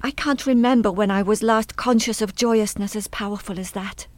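An actress playing a wistful, hesitant German woman in a radio play, speaking in a reflective, halting way. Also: medium pitch, fairly even intonation, dry recording, lightly acted. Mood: troubled, wistful. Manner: reflective, halting, trailing into reverie.

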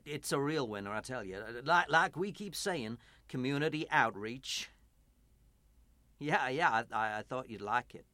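An actor in a radio play playing a smooth-talking evangelical pastor with a persuasive, salesman-like manner and an uneasy conscience. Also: medium pitch, lively intonation, a little slow, sharp tone, no room sound. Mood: excited, persuasive. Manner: stammering, salesman-like persuasion, swelling into preaching. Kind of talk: radio drama acting.

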